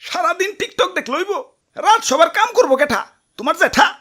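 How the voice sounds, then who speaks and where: Excitable high-pitched cartoon lizard boss. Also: female voice, fairly quick, heavily acted. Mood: bossy, annoyed. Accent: Bangladeshi. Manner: theatrical, exclamatory. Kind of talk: comic cartoon acting. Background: background music.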